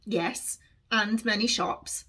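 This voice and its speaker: A woman voicing a proud, guarded thirteen-year-old maid at a royal court in a storybook, speaking in a brisk, self-important way. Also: mid-range voice, fairly even intonation, a bit bright, husky. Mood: brisk, superior.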